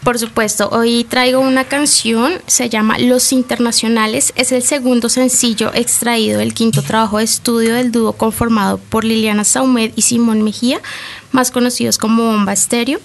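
A woman presenter reading a prepared informative segment in a slightly halting way. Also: fairly high pitch, flat delivery, creaky, slight background noise. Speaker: radio show presenter.

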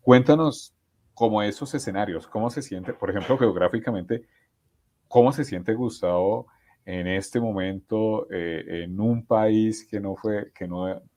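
A man with a very deep, low voice interviews a guest. He works through long questions in a halting way, restarting often and leaning on fillers. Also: warm tone. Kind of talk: conversational interview.

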